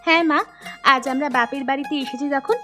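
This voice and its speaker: Woman acting a dutiful young daughter, high voice, cheerful, caring, animated family tale, soft backing music. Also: quick pace. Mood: eager, affectionate. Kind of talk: Bengali animated family story dialogue.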